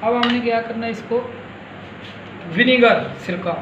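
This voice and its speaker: A man's voice: very high-pitched, steady tone, measured pace. A man casually demonstrating a recipe, explaining it step by step and repeating himself. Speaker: home cook presenting a recipe. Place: small hard-walled home kitchen. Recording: dull, echoey phone recording, loud sizzling noise.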